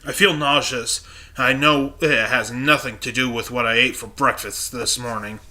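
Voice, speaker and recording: A man's voice: mid-range voice, fairly even intonation, moderate pace, sharp tone. A man narrating as an anxious schoolgirl. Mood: uneasy. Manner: reading inner monologue aloud. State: congested. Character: anxious young female student, uneasy and haunted.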